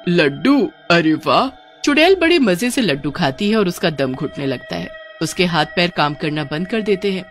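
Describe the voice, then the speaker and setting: A woman's voice: fairly low pitch, very animated, normal speed, hardly any pauses, soft background music. A woman storyteller giving dramatic scripted narration of a cartoon horror story.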